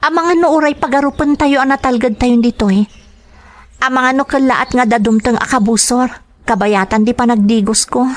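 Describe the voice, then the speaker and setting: High-voiced young Ilocano rebel recruit played by a woman. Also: moderate pace, close to an everyday voice. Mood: hesitant, relieved. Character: trusting, anxious young female rebel recruit. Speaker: radio drama actor. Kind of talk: Filipino radio drama acting.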